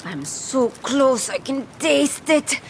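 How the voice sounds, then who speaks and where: An actress playing a bold, ambitious pirate captain in an animated adventure, with a swaggering, sardonic and theatrical delivery. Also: female voice, high-pitched, slow pace, a little hiss or hum, full character voice. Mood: eager, boastful.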